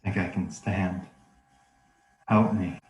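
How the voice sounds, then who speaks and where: An actor playing a man's reanimated corpse possessed by a sadistic killer, speaking slowly and with menace in a script read. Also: low-pitched, fairly even intonation, a little mellow, echoey room, a little hiss or hum. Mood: weary, eerie. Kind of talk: screenplay table read.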